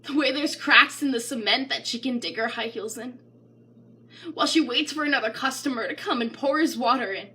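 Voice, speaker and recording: A woman's voice: fairly high pitch, brisk. A woman giving a dramatic, emotionally intense spoken-word poetry reading. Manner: intense, emotional, theatrical, swinging between hushed and crying out. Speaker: poetry reader.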